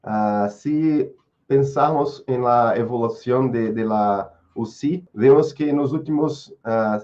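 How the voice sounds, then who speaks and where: A Brazilian man presents in a slow, halting way, stopping often to restart. His voice sits at an ordinary pitch. The sound is dull and lo-fi, like audio from a web call. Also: darkish tone. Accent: Brazilian Portuguese. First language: Portuguese. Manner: halting, with many restarts and fillers. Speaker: guest speaker, researcher. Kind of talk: webinar presentation.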